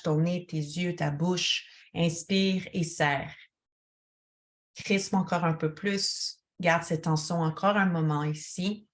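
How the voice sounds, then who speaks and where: Female guided-meditation voice, lowish register, very unhurried delivery, calm and instructional. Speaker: yoga teacher guiding a relaxation. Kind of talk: guided meditation, addressing one listener.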